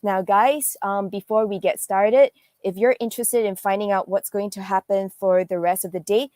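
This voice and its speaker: A woman's voice: medium pitch, steady tone, moderate pace, dark tone, dry recording. A woman hosting an online conference, delivering a welcome address to attendees. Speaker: event host, developer marketing lead.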